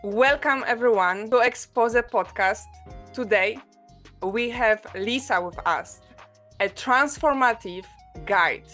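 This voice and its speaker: Slow, gentle-spoken woman host. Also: mid-range voice. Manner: gentle and unhurried, warmly welcoming. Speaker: podcast host. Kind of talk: interview hosting.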